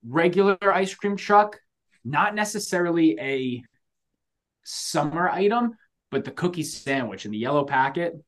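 A man co-hosting a podcast, chatting casually and telling stories in a slangy, animated way. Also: fairly high pitch, a little slow. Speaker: podcast co-host.

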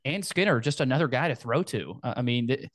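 An American man in a mid-pitched voice talks casually as a sports podcast host. The sound is close and dry, and he talks through the game preview conversationally, as if to a co-host. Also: a little fast, no echo. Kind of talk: casual football preview chat.

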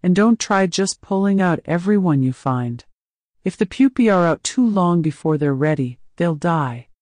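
A woman's voice: on the low side, lively intonation, moderate pace, darkish tone, no room sound, lightly acted. A woman reading an audiobook, voicing a massive male alien slave keeper who is genial, mocking and coolly menacing. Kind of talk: audiobook character voice.

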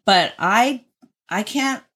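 A woman's voice: a little high, lively intonation, slow, sharp tone, breathy edge. A young adult woman co-hosting a podcast, talking candidly and casually, swearing a lot and laughing easily. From Oregon, US. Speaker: podcast co-host.

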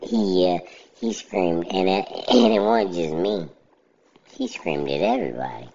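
A middle-aged man, talking casually about his own life in a level, monotone, plainspoken way. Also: lowish voice, vocal fry, a little hiss or hum. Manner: unhurried, reflective, plainspoken. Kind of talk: casual personal monologue. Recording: slightly dull lo-fi recording.

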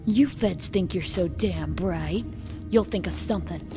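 A woman's voice: on the low side, a little slow. A woman acting as a bitter, paranoid captor who threatens and accuses in an audio drama. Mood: cold menace. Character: menacing, distrustful armed woman, bitter and paranoid. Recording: dramatic music bed behind.

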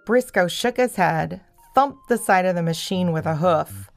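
A woman's voice: on the low side, lively intonation, measured pace, vocal fry, faint music behind. A woman storyteller reading scripted narration for a children's audio story.

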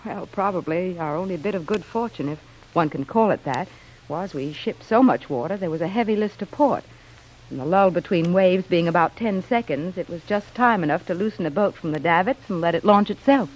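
A woman's voice: fairly low pitch, lively intonation, fairly quick, a little mellow. An actress playing a plucky shipwrecked wife in a vintage radio drama, telling her tale earnestly. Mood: earnest storytelling. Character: plucky shipwrecked young wife spinning a cover story. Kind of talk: 1940s radio acting. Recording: noisy vintage radio recording.

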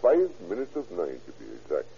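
An actor playing a stammering, sly scientist who turns to murder, in an old radio drama. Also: male voice, low-pitched, wildly swooping pitch, a little fast, creaky, mellow, slight background noise, full character voice. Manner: stammering, sly, with menacing chuckles. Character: sinister German scientist, middle-aged man, murderous. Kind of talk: 1940s radio acting. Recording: dull old radio recording.

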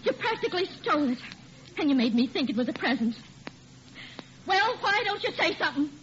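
An actress playing a sweet but no-nonsense American housewife, expressive and emphatic, in 1940s radio sitcom style. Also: female voice, high voice, brisk. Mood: indignant, hurt. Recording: muffled, noisy vintage radio recording.

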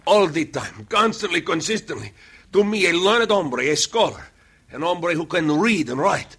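Radio comic relief: old Mexican burro driver, grandiose, bright raspy voice, broken English. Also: male voice, a little high, big pitch swings, moderate pace, heavily acted. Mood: exasperated. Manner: pompous, exclamatory. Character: pompous, long-suffering old Mexican burro driver, boastful scholar. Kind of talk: old-time radio western acting.

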